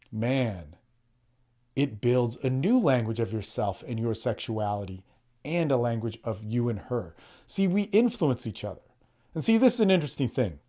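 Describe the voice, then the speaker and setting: A man's voice: mid-range voice, mellow. A man hosting a solo podcast, talking bluntly and profanely, often restarting words and acting out quotes. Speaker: podcast host and coach.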